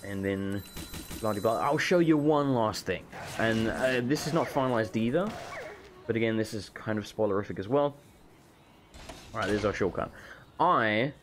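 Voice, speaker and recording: A man with a hoarse voice, losing it from being sick, rambling casually as he shows off his game mod. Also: fairly low pitch, a little hiss or hum. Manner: rambling, self-correcting, casual swearing. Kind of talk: casual gameplay walkthrough. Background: faint game music.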